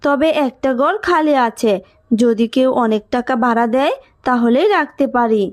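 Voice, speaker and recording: High-voiced greedy landlady acted by a woman. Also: moderate pace, close to an everyday voice. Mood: dismissive. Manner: dismissive, curt. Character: greedy, unfeeling landlady refusing her maid shelter. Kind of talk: Bengali cartoon dub.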